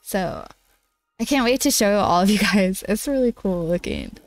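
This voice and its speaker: A woman's voice: medium pitch, expressive, normal speed, dry recording, soft background music. A young woman with a nasal, congested voice, chatting in a bubbly, giggly way with viewers while streaming a game. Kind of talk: casual live-stream chat while gaming.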